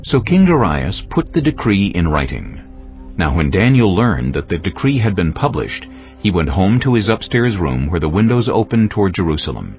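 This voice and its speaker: A man's voice: deep voice, big pitch swings, normal speed. A man narrating scripture in a scripted, dramatic storytelling style. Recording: muffled sound with faint music underneath.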